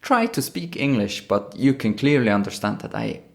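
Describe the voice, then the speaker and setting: A man's voice: medium pitch, expressive, moderate pace, vocal fry. A man with a Swiss German accent, a native Swiss German speaker co-hosting a casual podcast, thoughtful and often restarting his phrases. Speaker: podcast co-host.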